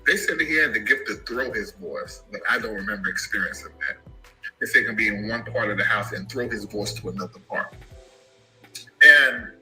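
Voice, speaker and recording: A man telling an emotional personal story about his family, animated and given to sudden high shouts. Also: brisk, soft background music, rough recording. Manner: animated, jumps into high-pitched shouts when quoting.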